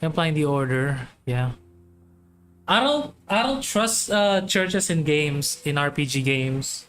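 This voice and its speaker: Slow-paced casual game streamer, mid-pitched. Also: expressive, fairly bright tone. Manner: informal, reacting in short musing remarks. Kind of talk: casual gameplay commentary. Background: faint game music.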